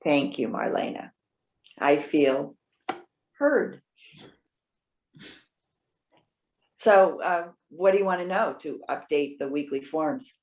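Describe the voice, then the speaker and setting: A woman's voice: low-pitched, unhurried, a little mellow. A woman playing a proud, witty elderly retired social worker in readers theater, articulate, wry and pointed. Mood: conciliatory. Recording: roomy echo.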